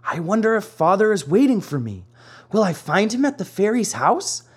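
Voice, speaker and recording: Reader putting on a higher, pleading storybook puppet boy, remorseful and lively in tone. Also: normal speed, slightly rough. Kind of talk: storybook reading.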